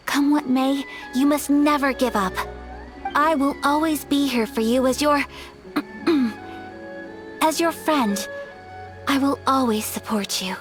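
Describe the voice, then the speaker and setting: A woman voicing a graceful young noblewoman, soft-spoken, polite and earnest. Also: sits high, slow, long pauses, faint music behind. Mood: tender.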